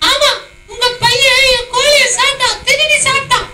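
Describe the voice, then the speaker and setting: Brief: very high squeaky girl puppet, flat scolding tone, echoey and noisy. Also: moderate pace. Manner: scolding, squeaky, sing-song. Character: girl Rosie, upset chicken owner. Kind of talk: children's puppet show. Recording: echoey hall, far mic, loud noise.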